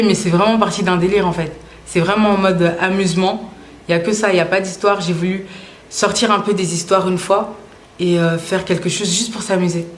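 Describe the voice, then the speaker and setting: Young woman, casual, echoey room. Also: medium pitch. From Belgium, Brussels. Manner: relaxed, slangy, with frequent hesitations. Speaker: singer interviewed about her music. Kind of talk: casual interview answers.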